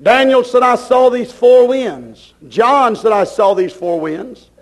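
A man's voice: very high-pitched, slow pace. A man preaching a fervent sermon, emphatic and declarative, repeating phrases to drive them home.

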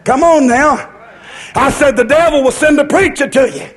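High-pitched man preaching a fervent revivalist sermon. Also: moderate pace. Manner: declamatory, repeats phrases, sing-song cadence; rhetorical questions to the congregation.

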